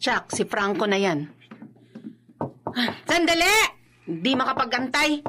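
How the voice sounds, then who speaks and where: Fretful anxious wife in radio drama, high lively voice, some noise behind. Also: female voice, unhurried. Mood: exasperated. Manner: fretful, emotive. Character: exasperated, anxious wife of a troublesome man. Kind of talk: Filipino radio drama acting.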